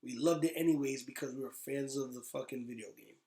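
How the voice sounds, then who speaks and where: A man's voice: medium pitch, brisk, warm tone, slight background noise. A man talking casually and informally as a podcast host, giving blunt opinions with some swearing. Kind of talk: casual chat about movies. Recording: roomy, echoey sound.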